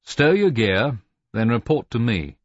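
Man voicing senior naval officer, cool, commanding, clipped, husky edge, dry sound, sea story. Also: medium pitch, very animated, unhurried, no echo, dull audio. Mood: stern, commanding.

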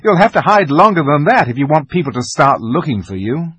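Male reader playing a boisterous, teasing older brother with a husky edge. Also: a little high, expressive, a little fast, dry recording, voice pushed up. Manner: loud, jolly teasing.

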